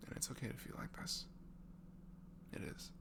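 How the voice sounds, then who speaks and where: A man softly improvising an intimate, comforting voice message to a friend, halting and casual, sometimes slipping into a murmur. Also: background noise. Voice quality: low velvety, gravelly. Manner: gentle, halting, drifts into breathy murmurs, casual teasing.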